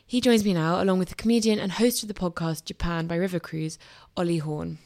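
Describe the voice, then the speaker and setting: A woman hosting a magazine discussion podcast, who reads scripted introductions in a measured way and then puts questions to guests. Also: lowish voice, fairly even intonation, darkish tone. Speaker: podcast host.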